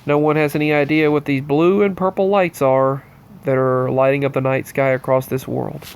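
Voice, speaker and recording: A man with a stopped-up, allergy-stuffed nose talks straight to his viewers in a loose, rambling monologue. His pitch sits in an ordinary middle range, and he speaks earnestly as he moves from item to item. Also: level tone, normal speed, vocal fry, a little mellow, clean tone. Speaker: channel host. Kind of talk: casual news-roundup monologue.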